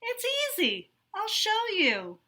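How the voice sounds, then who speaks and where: A woman's voice: extremely high pitch, wildly swooping pitch, slow pace, bright, forceful tone, pitched above the performer's own voice, exaggerated voice. A woman voicing a friendly, playful young female straw in a storybook, coaxing a classmate along. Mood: encouraging.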